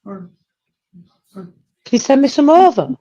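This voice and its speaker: A woman with a Yorkshire accent banters on a casual live stream. Her fairly high voice swings up and down freely and she teases as she goes. The sound is dry and a little dull. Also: sing-song, slow, mellow. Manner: cheeky, teasing.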